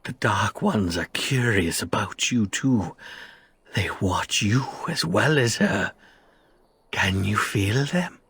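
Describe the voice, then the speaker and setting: An actor playing a dying, traumatized male survivor in a fantasy video game, speaking in broken, agonized, halting phrases. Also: fairly low pitch, expressive, a bit bright, slightly rough, heavily acted. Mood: delirious, pleading. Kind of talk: video game character acting.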